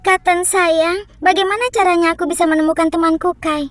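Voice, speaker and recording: A woman's voice: extremely high pitch, steady tone, fairly quick, faint music behind. A woman voicing a cautious, sweet little girl in a children's cartoon, earnest and pleading in manner. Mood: sweet, hopeful.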